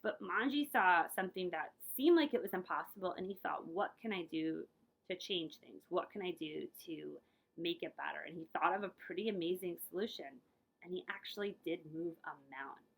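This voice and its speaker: A woman librarian reading aloud for children in an expressive storytelling way, speaking directly to her young listeners. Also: fairly low pitch, brisk, creaky, poor audio.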